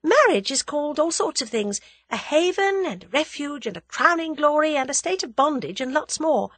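A woman's voice: high-pitched, expressive, normal speed, a bit bright, no echo, voice pushed up. A woman voicing a spirited, teasing young woman in love in an audiobook, playful and eager. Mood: gleeful. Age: young adult. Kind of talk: audiobook character dialogue.

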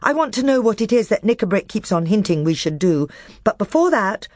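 A man's voice: sits high, very animated, a little fast, no echo, clean studio sound, voice pushed up. A man voicing a young king who speaks with command and impatience. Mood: impatient.

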